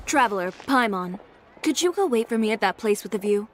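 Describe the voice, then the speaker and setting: A woman's voice: mid-range voice, lively intonation, a little fast, a little hiss or hum, lightly acted. A woman voicing a poised young female fashion designer, composed with a dry, teasing wit, in game voice acting. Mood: cool. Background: game music.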